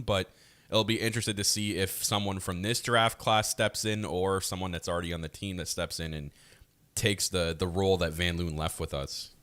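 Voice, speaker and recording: Low-voiced man talking hockey. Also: fairly bright tone, dry recording. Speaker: co-host and hockey draft analyst. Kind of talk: casual sports podcast chat.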